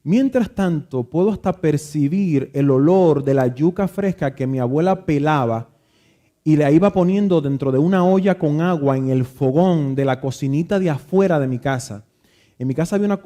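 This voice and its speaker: A man with a Dominican accent, reading scripture aloud and then telling a reflective story about growing up, pausing and restarting now and then. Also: fairly high pitch, mellow. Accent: Dominican Spanish. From Dominican Republic. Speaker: preacher. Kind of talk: Bible reading and devotional talk.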